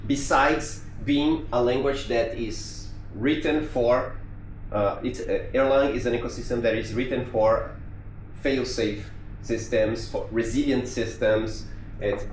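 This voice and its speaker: A man from Brazil with a Brazilian Portuguese accent, giving a technical conference talk in a conversational way, with restarts and fillers. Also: medium pitch. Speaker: conference speaker. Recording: echoey room with faint noise.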